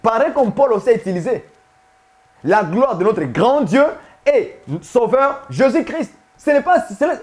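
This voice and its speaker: A man preaching a sermon, emphatic and repetitive, often putting questions to his listeners. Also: high-pitched. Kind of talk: sermon teaching to viewers.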